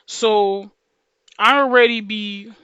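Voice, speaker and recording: A woman whose voice sits deeper than most women's, yet comes across smooth and bright. She talks to her viewers in a casual, slangy ramble, restarting and repeating phrases as she goes. The recording is close and dry. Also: steady tone, takes it slowly, no echo, highs cut off. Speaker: video creator.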